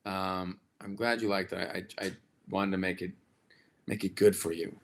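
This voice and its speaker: A man with a slightly raspy voice hosting a casual livestream chat, rambling warmly with lots of fillers and restarts. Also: low voice, flat delivery, moderate pace. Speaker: livestream host. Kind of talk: casual live chat with viewers.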